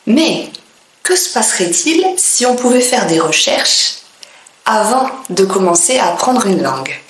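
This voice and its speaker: A woman from France, a French teacher, speaking in a clear, deliberate way with pauses between phrases. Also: medium pitch, sing-song, echoey room, slight background noise. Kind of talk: slow, careful teaching monologue.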